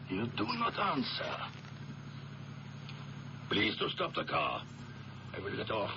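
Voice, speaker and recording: Slow, gravelly, blackmailer. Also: male voice, fairly low pitch, dull audio, full character voice. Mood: falsely polite. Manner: slow, needling, pointed questions. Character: menacing male blackmailer, sly, taunting, falsely polite. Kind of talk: 1970s radio drama acting. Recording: band-limited, noisy old radio recording.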